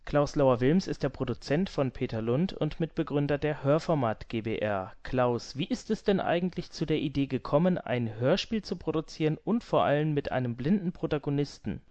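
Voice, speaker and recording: Higher-voiced male interviewer, dry sound. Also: lively intonation, moderate pace, hardly any pauses, darkish tone, no room sound. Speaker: podcast host and interviewer. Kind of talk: prepared interview questions. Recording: dry, slightly dull lo-fi sound.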